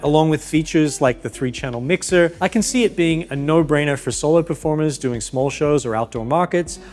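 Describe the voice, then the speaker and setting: Brief: male gear reviewer, slightly high voice, upbeat and explanatory. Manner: upbeat, clear explanatory delivery. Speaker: product reviewer.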